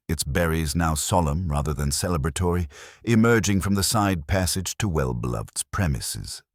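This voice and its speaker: Very deep, measured male narrator. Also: dry recording, clean studio sound. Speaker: narrator of a detective story. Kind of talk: scripted literary audiobook narration.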